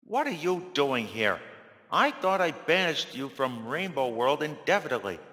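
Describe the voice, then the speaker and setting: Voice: medium pitch, big pitch swings, moderate pace, a bit bright, long reverb tail, voice pushed up. A man voicing a stern, unamused announcer who guards a magic world in a children's story. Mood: stern, indignant.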